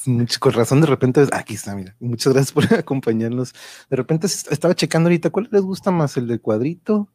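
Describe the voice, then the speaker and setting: A man's voice: mid-range voice, expressive, fairly quick, mellow, no echo. A man with a Mexican Spanish accent hosting an online show, chatting in an informal, slangy, rambling way. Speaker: host of an online radio drama show. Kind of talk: casual live-stream introduction and chat.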